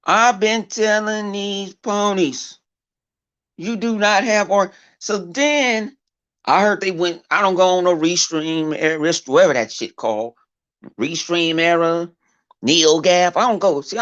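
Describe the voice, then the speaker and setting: A man with a big, booming voice talks off the cuff to his viewers, circling his points with fillers, restarts and plenty of swearing. The sound is dull and lo-fi, missing its top end. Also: a little slow, a bit bright. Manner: rambling, profane, full of fillers and repeats. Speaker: gaming YouTuber. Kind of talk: casual off-the-cuff vlog rant. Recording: dull lo-fi recording.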